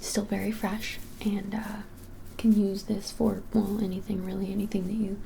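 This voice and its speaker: Whispering young woman, soft and muted. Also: mid-range voice, little pitch movement, normal speed, vocal fry, slight background noise. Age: 20s. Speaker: ASMR creator playing a witch shop keeper. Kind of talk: whispered ASMR shop roleplay. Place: small indoor room, plain wall.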